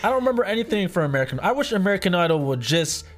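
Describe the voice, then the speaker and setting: A man co-hosting a casual chat show with a raspy voice, talking bluntly and swearing freely. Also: high-pitched, very animated, very fast, soft background music. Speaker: co-host.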